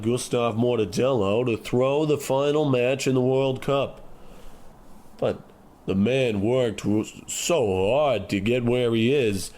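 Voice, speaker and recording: An actor playing a morose, earnest small-time gangster son in a comic old-time radio play. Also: male voice, medium pitch, darkish tone, close to an everyday voice. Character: glum small-time gangster son who loves boxing.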